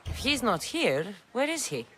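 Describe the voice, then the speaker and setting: A wry, animated mercenary. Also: female voice, on the high side, a little slow. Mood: curious, businesslike. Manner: dry, sardonic. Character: wry, tough female ancient Greek mercenary. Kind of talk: video game cutscene acting.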